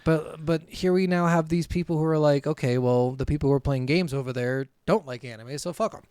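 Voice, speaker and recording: Casual male podcast co-host, higher-pitched, close dry sound. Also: a little fast, darkish tone, smooth, dry recording. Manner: informal, swears freely. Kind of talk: casual chat, reading notes and commenting.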